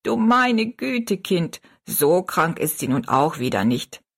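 Woman doing a brisk, motherly old dwarf lady. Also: fairly low pitch, sing-song, creaky, husky, full character voice. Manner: fussy, motherly, scolding fondly.

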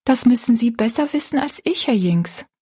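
A woman voicing an earnest, pious young girl in a storybook, gently and politely explaining things. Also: on the high side, animated, moderate pace, husky, voice pushed up. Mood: thoughtful.

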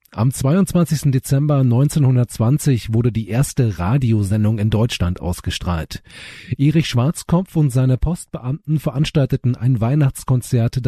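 A man with a husky voice reading scripted radio news as a presenter. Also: medium pitch, mellow, no echo, studio quality.

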